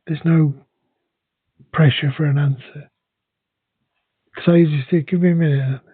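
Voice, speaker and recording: A man who speaks English and Welsh, talking in a hesitant, halting way with many restarts in a casual conversation. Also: on the high side, steady tone, husky, no room sound. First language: English and Welsh bilingual. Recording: dry, phone-like narrow sound.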